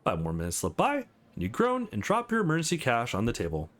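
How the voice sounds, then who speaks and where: A man's voice: mid-range voice, huge pitch swings, fast talker, a bit bright. A man who is not a native English speaker, reading a visual novel aloud as a let's play host.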